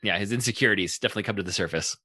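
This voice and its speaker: A man with a bright, forward voice pitched on the low side hosts a podcast discussion. He talks casually and analytically, phrasing his thoughts haltingly, in a dry, close recording. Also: breathy edge, dry recording.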